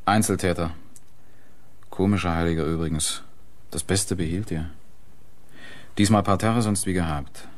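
An actor playing a brisk, dry police detective in a German radio crime drama. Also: male voice, sits low, steady tone, natural voice. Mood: matter-of-fact. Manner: clipped, dry, matter-of-fact.

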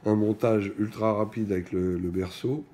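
Deep, mellow man presenting haltingly. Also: fairly even intonation. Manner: halting, stop-and-go phrasing with trailing pauses. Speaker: product presenter. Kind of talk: unscripted hands-on product demonstration. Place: indoors at a wooden table in a small shop or office.

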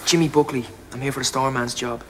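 A plain-spoken young Dublin man, acted by a man in a TV drama. His voice is mid-pitched and working-class, recorded with clear noise behind it. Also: fairly even intonation, fast talker, fairly bright tone, close to an everyday voice. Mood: polite, hopeful. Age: young adult. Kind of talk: naturalistic television drama acting.

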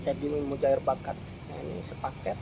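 A man's voice: medium pitch, completely flat delivery, brisk, vocal fry. A middle-aged man filming a casual food review vlog at a cafe table, talking to viewers in a friendly, chatty way and calling them "brother". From Indonesia. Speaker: food vlogger. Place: open-air cafe, evening. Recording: noisy cafe phone recording.

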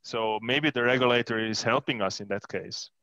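Brief: thirties man, bright, crisp, dry close headset sound, matter-of-fact. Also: medium pitch, moderate pace, no room sound, muffled recording. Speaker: panelist. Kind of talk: panel discussion over video call. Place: room with plant wall indoors. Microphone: headset mic.

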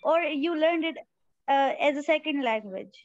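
A woman's voice: sits high, lively intonation, normal speed, no room sound, dull audio. A woman learner politely and carefully asking questions in an online class. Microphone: video call.

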